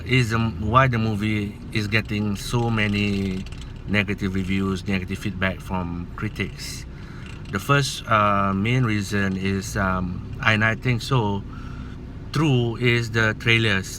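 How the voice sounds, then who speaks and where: A man's voice: on the low side, noisy background. A man with a Malaysian accent, whose first language is Malay, giving his opinion in a casual movie vlog in an earnest, rambling, hesitant way. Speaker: movie vlogger.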